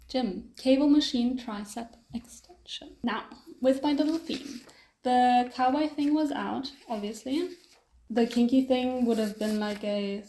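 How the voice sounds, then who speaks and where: A woman's voice: fairly high pitch, darkish tone. Chatty German-accented woman in an echoey room. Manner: chatty and self-deprecating, with frequent fillers and small laughs. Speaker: artist vlogger. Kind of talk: casual voice-over commentary on her drawing process.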